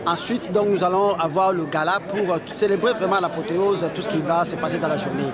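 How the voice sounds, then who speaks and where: A man in a high register gives earnest, halting remarks at an outdoor community gathering. A loud crowd fills the space around him throughout. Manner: measured, hesitant with many fillers. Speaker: interviewee at community event. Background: loud crowd chatter.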